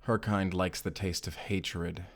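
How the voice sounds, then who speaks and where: A man playing a brooding, hot-tempered Spanish vampire slayer in an audio drama, gruff and curt. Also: deep voice, level tone, slow pace. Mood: sullen. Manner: gruff, curt, easily angered. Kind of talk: cinematic audio roleplay acting.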